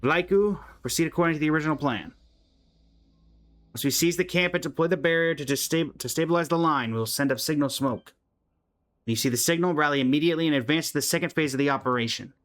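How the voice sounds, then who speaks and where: A man reading as a brisk, stern army captain. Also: on the high side, fairly bright tone, lightly acted. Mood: commanding. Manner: brisk, commanding. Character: stern male military captain giving orders.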